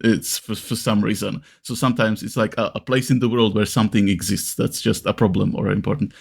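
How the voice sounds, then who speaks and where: A man's voice: on the low side, steady tone, vocal fry, breathy edge. A man with a Czech accent talking casually as a podcast host, rambling and often restarting his sentences. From Czech Republic. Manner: halting, rambling, frequent self-restarts.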